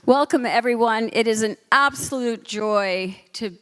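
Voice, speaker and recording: A woman's voice: on the high side, a little slow, a bit bright, a little hiss or hum. Woman co-hosting in a very echoey hall. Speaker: event co-host and philanthropist. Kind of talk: spoken welcome speech on stage. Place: large reverberant venue. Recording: long hall reverb.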